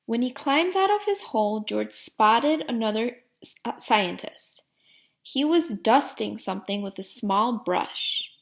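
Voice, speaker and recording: A woman reading a children's storybook aloud like a teacher, deliberately, putting questions to young listeners. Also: a little high, animated, creaky.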